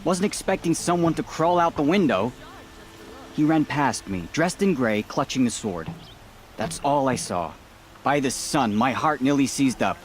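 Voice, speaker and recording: A man's voice: medium pitch, animated, measured pace, natural voice. A man playing a nervous household steward in a video game, giving an account like a rattled witness. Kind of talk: video game drama acting.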